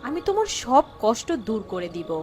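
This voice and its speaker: A woman's voice: fairly high pitch, big pitch swings, a little fast, music in the background. A woman voicing a kindly but stern fairy in a cartoon fable, solemn in manner. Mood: gentle, reassuring.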